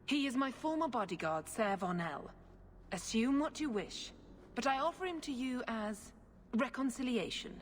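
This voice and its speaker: A woman playing a condescending, scheming priestess in a video game, speaking in a measured, formal way. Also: fairly high pitch, lively intonation, a little hiss or hum, natural voice. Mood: haughty, calculating. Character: scheming, condescending Chantry priestess, smooth political manipulator. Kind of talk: scripted video game acting. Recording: game music behind the voice.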